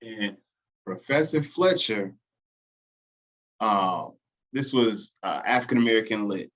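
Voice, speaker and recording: A man hosting a podcast, chatting in a relaxed, encouraging way and sharing a story of his own. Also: lowish voice, slow, lo-fi sound. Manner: conversational, encouraging, laughs along. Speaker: podcast host. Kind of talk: casual chat.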